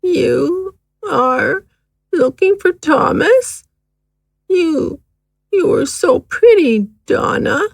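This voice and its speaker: A woman playing an eerie elderly mother in an audio horror drama, speaking in a slow, halting, haunting way. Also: very high voice, lively intonation, gravelly, no room sound, studio quality, heavily acted. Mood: eerie, wistful. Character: eerie elderly mother Mimi, channeling the dead.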